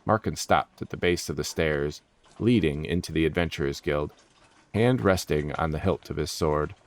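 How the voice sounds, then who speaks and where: A man's voice: a bass voice, moderate pace, no room sound. A man reading scripted fantasy story narration as the narrator.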